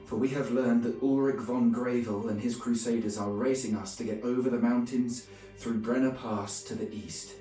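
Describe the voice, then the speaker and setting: An actor playing a grave, pious medieval crusader who narrates his campaign journal in a solemn, formal, measured way. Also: mid-range voice, steady tone, echoey room, far from the mic, lightly acted, low-quality recording. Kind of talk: scripted dramatic narration. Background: music.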